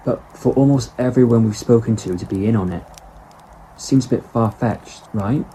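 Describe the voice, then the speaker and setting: A man's voice: medium pitch, normal speed, dark tone, a little hiss or hum, lightly acted, poor audio. A man playing an uneasy, sceptical travelling companion in a fan audio drama, hesitant and questioning. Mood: doubtful, questioning.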